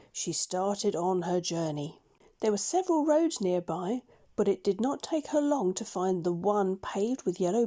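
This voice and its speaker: Mellow-voiced woman reading a children's story. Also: mid-range voice. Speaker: storybook reader. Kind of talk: scripted children's story narration.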